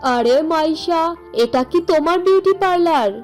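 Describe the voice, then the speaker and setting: A woman's voice: extremely high pitch, lively intonation, unhurried, soft background music, pitched above the performer's own voice. A woman voicing humble, admiring village women in a cartoon story, earnest and marveling. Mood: amazed, delighted.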